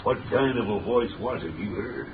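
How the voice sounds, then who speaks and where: An actor as a brusque, low-voiced police commissioner. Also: male voice, moderate pace, distant mic, close to an everyday voice. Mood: brisk, stern. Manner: brusque, blustering. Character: gruff, irritable male police commissioner. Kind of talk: 1930s radio drama acting. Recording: scratchy, tinny, echoey 1930s radio.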